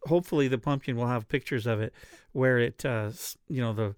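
A man's voice: mid-range voice, a little fast, clean tone, no echo. A man in his late forties chatting casually with his wife at home, with wry, grumbling, self-mocking remarks. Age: 40s. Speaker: podcast co-host. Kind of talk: casual chat with spouse.

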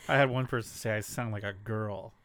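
A man's voice: lowish voice, steady tone, fairly quick, a bit bright, no room sound, studio quality. A middle-aged man co-hosting a casual podcast chat and joking around. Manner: joking, quotes movie lines in silly voices. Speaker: podcast co-host.

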